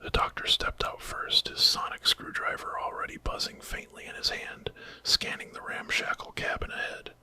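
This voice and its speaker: Man, whispered narration, ASMR horror tale, breathy, very bright, dramatic. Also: normal speed. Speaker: storyteller.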